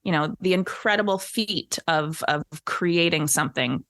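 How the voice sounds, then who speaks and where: Lady podcast guest, lowish voice, really enthusiastic and emphatic, dry close sound. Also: measured pace, a bit bright, no room sound. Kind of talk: casual chat.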